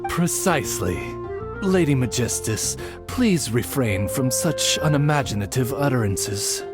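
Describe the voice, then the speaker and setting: An actor voicing a devoted raven familiar in an anime game, speaking with formal, butler-like politeness. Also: male voice, mid-range voice, expressive, measured pace, loud music behind the voice, lightly acted. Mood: politely reproving.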